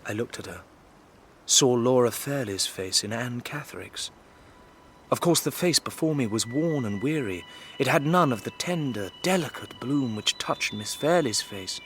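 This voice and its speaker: A man playing an earnest, courteous young Victorian gentleman with an English accent, in a period radio drama. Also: mid-range voice, animated, normal speed, a little hiss or hum. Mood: grave, reflective. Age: young adult. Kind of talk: period drama acting with measured first-person narration.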